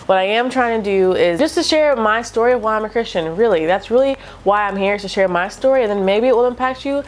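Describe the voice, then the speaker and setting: A woman's voice: mid-range voice, lively intonation, quick pace. Stuffy-voiced chatty young woman vlogging. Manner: chatty, southern-tinged "y'all", laughs lightly. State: stuffy nose from a cold. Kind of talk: casual faith vlog to camera. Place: room lit by natural daylight.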